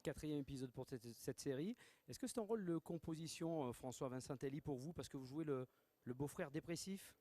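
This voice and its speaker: A man interviewing two actors at a festival, asking brisk, leading questions. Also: fairly high pitch, dark tone.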